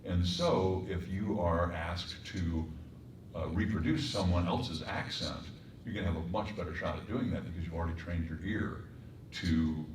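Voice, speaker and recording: A man from Colorado with a very deep voice, a voice actor and composer telling wry stories on a stage panel. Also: moderate pace. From Colorado, United States. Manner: storytelling, wry, reflective. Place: performing arts center stage. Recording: echoey hall, distant mic, faint hum.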